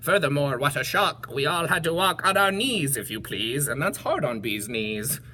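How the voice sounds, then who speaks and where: A woman's voice: deep voice, animated, normal speed, pushed and bright, a little hiss or hum. A woman voicing anxious, aggrieved bees in a rhythmic storybook complaint. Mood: aggrieved worry. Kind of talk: animated picture-book read-aloud.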